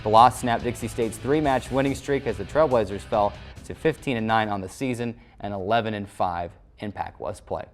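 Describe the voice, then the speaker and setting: A man's voice: lowish voice, normal speed, few pauses, soft background music. A man hosting a sports show, delivering recaps and interview questions as a play-by-play announcer.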